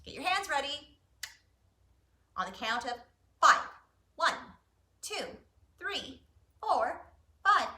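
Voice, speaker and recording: A woman hosting story time for young children, talking and reading aloud in an animated way, addressing them as friends. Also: high-pitched, slow pace, pauses often. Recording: roomy echo, faint music.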